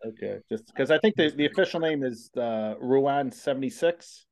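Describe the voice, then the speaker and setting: A man's voice: medium pitch, normal speed. A man hosting a casual podcast interview, chatty and rambling, often repeating his words. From United States. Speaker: podcast host.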